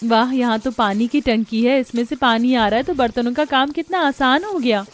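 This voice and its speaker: A woman's voice: fairly high pitch, moderate pace, close to an everyday voice. A woman playing a meek, hopeful young bride in a cartoon story, speaking politely and plaintively.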